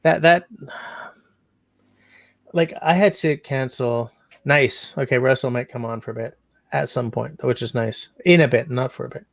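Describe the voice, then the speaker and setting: Man, casual host, mid pitch, gravelly, dry sound. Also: normal speed, no echo. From Canada. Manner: meandering, reads out chat comments. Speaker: livestream host. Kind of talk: casual livestream chat.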